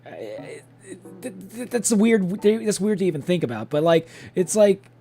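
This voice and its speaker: A man livestreaming a video game, chatting casually and haltingly with viewers, with informal, sweary speech. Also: sits high, big pitch swings, mellow. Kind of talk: casual chat with stream viewers. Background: game music.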